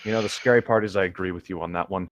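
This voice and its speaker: A man's voice: sits low, steady tone, very fast, no echo. A man doing a theatrical, comic reading aloud with casual, jokey asides.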